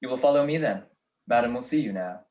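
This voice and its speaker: A man playing a servile little manservant, halting and stiffly formal, in an old detective radio play. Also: mid-range voice, expressive, a little mellow, close to an everyday voice. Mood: servile, guarded.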